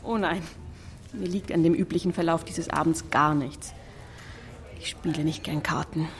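An actress playing a mature, reflective gentlewoman of quiet feeling in a German period radio play, speaking earnestly. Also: low voice, fairly even intonation, normal speed, close to an everyday voice. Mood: guarded.

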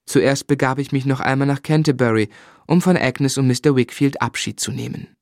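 An actor playing a reflective, earnest young gentleman in a literary radio drama, speaking in a measured, sincere way. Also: mid-range voice, creaky, no echo, studio quality, close to an everyday voice. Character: reflective, earnest young gentleman telling his life story. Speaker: narrator and lead actor.

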